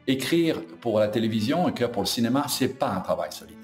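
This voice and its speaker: A man's voice: mid-range voice, very animated, fast talker. An older man being interviewed, talking off the cuff in a plain way and repeating words. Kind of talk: spontaneous interview talk. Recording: roomy echo, faint music underneath.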